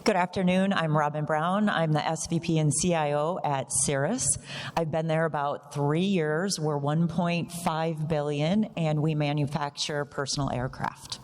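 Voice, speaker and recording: A woman's voice: deep voice, fairly even intonation, measured pace, a little mellow. A woman speaking as an executive panelist in a semi-formal live discussion. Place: event room before an audience. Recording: reverberant hall.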